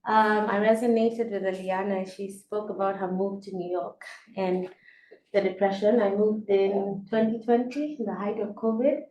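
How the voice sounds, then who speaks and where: A woman from Kenya gives a self-introduction as a guest speaker before an audience. Her voice is mellow and dark, at a middle pitch. It is recorded in an echoey room with faint noise. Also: fairly even intonation, moderate pace. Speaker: guest speaker, legal and human rights advisor. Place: indoor event space.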